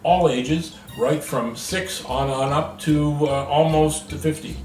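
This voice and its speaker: A man's voice: fairly high pitch, fairly even intonation, takes it slowly, a little mellow. A man being interviewed as a stage performer, explaining things conversationally in a hesitant, jokey way. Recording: roomy echo, distant mic, music behind.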